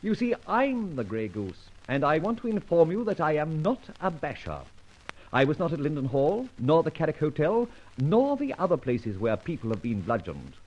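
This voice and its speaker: An actor playing a suave, indignant British gentleman thief in an old-time radio detective serial, with articulate, flippant delivery. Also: a little high, very animated, normal speed, lo-fi sound. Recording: noisy old radio recording.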